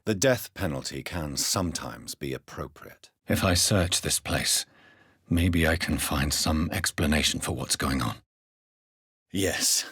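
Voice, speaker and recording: Brooding english vampire doctor for a game, really low husky voice, formal and intense. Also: male voice, fairly bright tone, no room sound, studio quality, heavily acted. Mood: pensive. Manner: formal, measured, earnest, intense. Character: grave, courteous gentleman doctor turned vampire, brooding and principled. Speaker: video game lead character voice. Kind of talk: dramatic video game acting.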